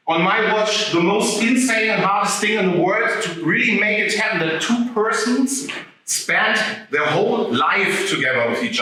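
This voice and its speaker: A man giving an animated live talk to an audience, turning to them with questions. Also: high-pitched, slight background noise. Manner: animated, addresses the audience directly. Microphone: no microphone, picked up from afar. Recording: echoey room, voice from a distance.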